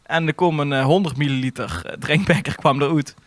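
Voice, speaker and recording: A man with a slightly high voice chats casually as a podcast host. He tells his story haltingly and colloquially, recorded close and dry. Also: dry recording. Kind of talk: casual anecdote in podcast chat.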